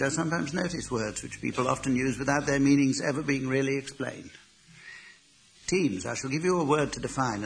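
A man's voice: medium pitch, gravelly. A man hosting a comedy panel game in a dry, mock-pompous way. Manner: deadpan, pompous. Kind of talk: dry mock-formal chairing. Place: studio in front of a live audience. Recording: live studio show with loud audience laughter and noise.

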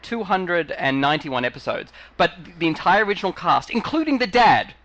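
A man's voice: high voice, very animated, fairly quick, fairly bright tone, muffled recording. A man from Australia with an Australian accent, partly Americanized, chatting casually as a guest, rambling and laughing often. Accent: Australian, with some American influence. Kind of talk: casual comedic chat.

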